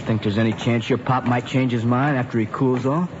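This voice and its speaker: American teen buddy played by a man, middle pitch, wry and slangy, mid-century radio acting, muffled old recording. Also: fairly even intonation, fairly quick, noisy background, close to an everyday voice. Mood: wry, commiserating. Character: wisecracking, sympathetic teenage pal, full of slang.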